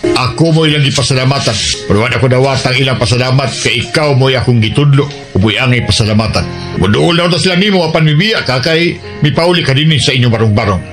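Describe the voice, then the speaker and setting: An actor with a Cebuano accent playing a gruff street-gang boss softened by pity, emphatic and exclamatory in a radio drama. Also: male voice, mid-range voice, animated, quick pace, close to an everyday voice. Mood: reflective, remorseful. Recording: radio drama with music underneath.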